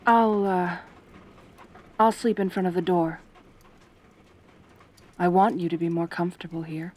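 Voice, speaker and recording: A woman's voice: on the low side, level tone, measured pace, a little mellow. A woman playing a lonely, possessive centaur girl in an intimate ASMR roleplay, tender and anxious, with a hesitant, pleading manner. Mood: hesitant, gentle.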